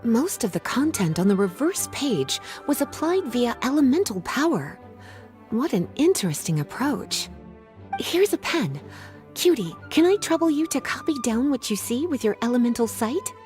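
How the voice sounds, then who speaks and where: A poised, scholarly librarian lady from a video game, speaks in a fairly high voice with lilting, animated pitch. She is coy and teasing yet articulate, with soft music behind. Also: moderate pace. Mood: thoughtful.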